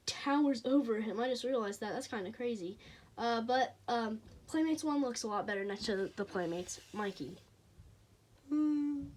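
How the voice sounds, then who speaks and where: A teenager with a childlike voice, reviewing toy figures in a casual, hesitant way with frequent pauses and fillers. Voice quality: childlike, high voice.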